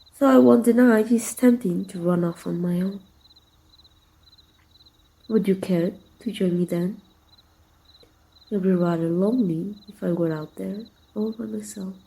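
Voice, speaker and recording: A woman from Mexico voicing a teasing, tender female villain in an intimate ASMR roleplay, soft and breathy with some whispering. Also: mid-range voice. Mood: guarded, wistful.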